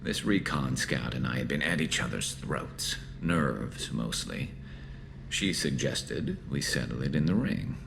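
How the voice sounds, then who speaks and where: Very deep gravelly alien soldier. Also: male voice, lively intonation, background noise, heavily acted. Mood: amused, reminiscing. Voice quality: gravelly, resonant metallic rasp. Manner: dry, wry, measured. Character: battle-hardened male alien soldier, dry-witted, loyal, brooding. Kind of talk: video game voice acting.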